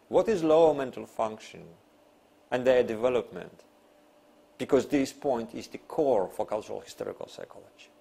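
Mellow-voiced male lecturer, non-native English speaker. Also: mid-range voice, vocal fry. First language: not English. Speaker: university lecturer. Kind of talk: academic lecture, partly read from notes. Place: small classroom, seated at a desk.

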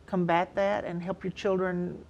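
Lower-pitched older woman, some background noise. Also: flat delivery, moderate pace, a little mellow, muffled recording. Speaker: mental health counselor, interview guest. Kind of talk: explanatory studio interview talk. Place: TV studio, seated indoors.

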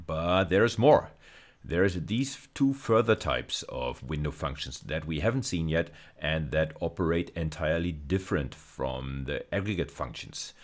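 Casting: middle-aged man, low-pitched voice, university lecturer explaining technical material in measured, emphatic phrases. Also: expressive. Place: indoors, recorded lecture over slides.

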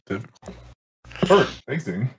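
A man's voice: medium pitch, very animated, moderate pace, husky. A man in the game master's seat talks through what happened last session in a loose, rambling way. He stops often to correct himself and pick up the thread again. He speaks through a home mic on a voice call. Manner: casual, rambling recap with self-corrections. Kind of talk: recapping a tabletop game session.

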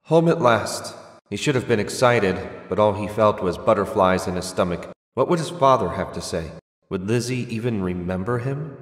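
A man's voice: on the low side, lively intonation, normal speed, roomy sound, in a large hall. A man reading scripted fantasy audiobook narration as the storyteller.

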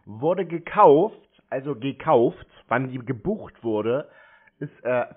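A man in a confrontational phone conversation, blunt and insistent, repeating himself for emphasis. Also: medium pitch. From Germany. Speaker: scam baiter confronting a phone scammer. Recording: narrow tinny phone-like sound.

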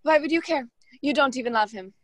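Young woman acting haughty hostess, scheming, high voice, condescending, close dry sound, radio play. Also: a little fast, fairly bright tone, no echo. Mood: defiant, taunting. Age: young adult. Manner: condescending, taunting.

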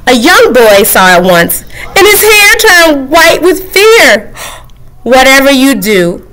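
A woman voicing a frantic, pleading male monster from a picture book, shrill and desperate. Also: very animated, takes it slowly, a bit bright, heavily acted, low-quality recording.

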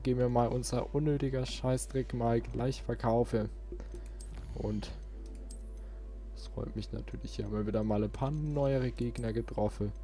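A man's voice: on the low side, mellow. A man chatting informally as he hosts a let's play, thinking aloud while he plays. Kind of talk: casual gaming commentary.

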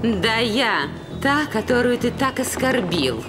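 Cartoon villain: vengeful she-cat schemer, high, very slow, gloating, over loud music. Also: very animated, full character voice. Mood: menacing. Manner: drawn-out, theatrical menace. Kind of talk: dubbed cartoon villain acting.

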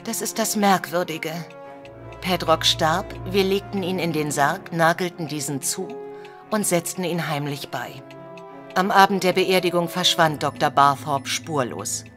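Eine Schauspielerin spricht eine vornehme, diskrete, besorgte viktorianische Ehefrau, die als Klientin in einem deutschen Hörspiel förmlich und gemessen berichtet. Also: female voice, fairly low pitch, over music, lightly acted. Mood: worried, earnest. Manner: formal, measured storytelling. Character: proper, discreet Victorian married lady, troubled client. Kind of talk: German audio drama acting.

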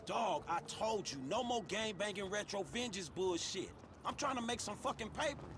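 A man's voice: high-pitched, moderate pace, background noise, close to an everyday voice. A man playing an exasperated young streetwise character in a video game. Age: young adult. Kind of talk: naturalistic video game acting.

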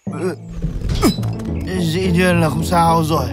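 A woman's voice: fairly low pitch, steady tone, slow, loud music behind the voice. A woman voicing a boastful, easily flustered animal uncle in a children's cartoon, blustering and hesitant. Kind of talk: dubbed children's cartoon acting.

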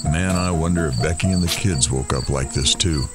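An actor playing a musing, laid-back host who observes events in an audio drama. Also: male voice, rumbling low, normal speed.

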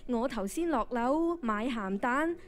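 A woman's voice: fairly high pitch, expressive, takes it slowly. A teenage girl playing a chatty, fussing mother in a radio drama on stage. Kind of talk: Cantonese radio drama acting. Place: on stage in a school drama competition hall.

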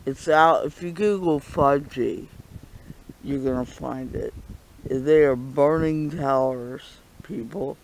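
A man vlogging on a walk through a bare forest, talking earnestly and insistently, pausing often. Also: medium pitch, slight background noise, poor audio. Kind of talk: walking vlog monologue.

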